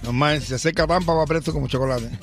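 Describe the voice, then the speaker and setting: A man with a Cuban Spanish accent, co-hosting a morning radio show, joking and stammering through lively banter. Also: fairly high pitch, fast. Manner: jokey, stammering, animated. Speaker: radio co-host. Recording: radio show with music under the voice.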